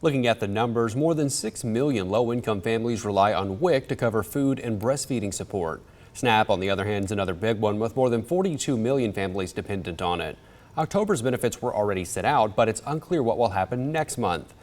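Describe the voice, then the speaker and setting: A male news anchor with a fairly low voice reads the script in a steady, measured manner. His tone is even and professional, suited to a local TV broadcast.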